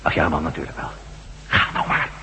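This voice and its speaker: An actor playing an inquisitive, self-assured reporter in a radio drama, brisk and questioning with a sardonic edge. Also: male voice, sharp tone, a little hiss or hum, natural voice. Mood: brisk, confident. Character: inquisitive male ex-astronaut reporter, self-assured, bold, wry. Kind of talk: Dutch radio drama acting.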